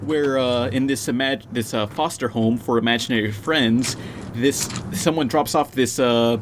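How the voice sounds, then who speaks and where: A male podcast host chats casually in a mid-pitched voice. He is blunt and sweary and rambles through explanations, with some background noise on his online call. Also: normal speed. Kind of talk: casual panel banter, profane.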